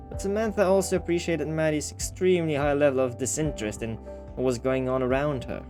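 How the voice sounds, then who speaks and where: A man reading a visual novel aloud as an expressive storyteller, slipping into casual, wry asides. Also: medium pitch.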